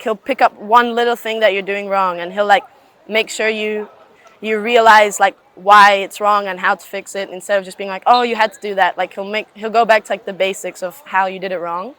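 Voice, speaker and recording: An eighteen-year-old woman from Scotland, chatting casually in an interview and often filling pauses with "like". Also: medium pitch, fairly even intonation, a bit bright. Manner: chatty, leans on "like" fillers. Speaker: student athlete.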